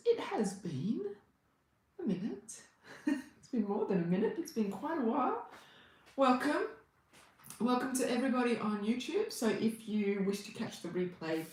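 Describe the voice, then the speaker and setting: A woman's voice: a little high, a little mellow, echoey room, rough recording. An Australian woman, a Reiki healer hosting a casual livestream, chatting and greeting viewers by name.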